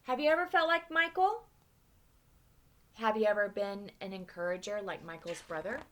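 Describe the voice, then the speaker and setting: A young woman at home teaching children new words and reading them a storybook aloud, with lively expression and soft whispered asides. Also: medium pitch, normal speed. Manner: animated, drops to whispers for asides. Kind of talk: storybook read-aloud with vocabulary lesson.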